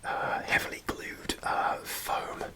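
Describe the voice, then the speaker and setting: A man telling a story in a soft, rambling ASMR whisper with many pauses and hesitations, recorded close to the microphone. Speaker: ASMR creator.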